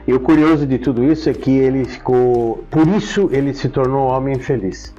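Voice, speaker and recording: A man's voice: mid-range voice, brisk, mellow, faint music behind. Brazilian man reminiscing, slightly muffled sound. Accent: Brazilian Portuguese. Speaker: interview guest. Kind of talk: conversational storytelling and reminiscence. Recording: dull, lo-fi sound.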